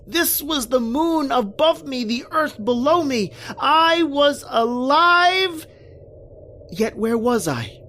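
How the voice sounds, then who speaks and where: A woman's voice: mid-range voice, fairly bright tone, a little hiss or hum. Woman acting an anguished, bewildered horror-tale husband. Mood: desperate, frantic, then bewildered, haunted. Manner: halting, anguished, theatrical delivery. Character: panicked, bewildered working husband lost in a nightmare. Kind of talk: dramatic first-person horror radio narration and acting.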